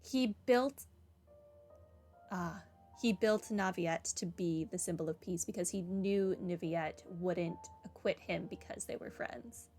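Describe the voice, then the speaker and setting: A woman's voice: lowish voice, slow, a little mellow, soft background music. A woman giving casual, informal and reflective commentary as she plays a game. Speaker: let's play gamer.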